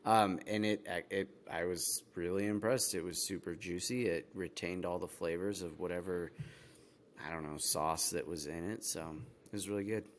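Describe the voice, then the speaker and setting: A man's voice: sits low, fairly even intonation, normal speed, a little hiss or hum. A man co-hosting a casual gaming podcast, rambling easily with many ums and uhs. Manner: rambling, many fillers, easygoing. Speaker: podcast co-host.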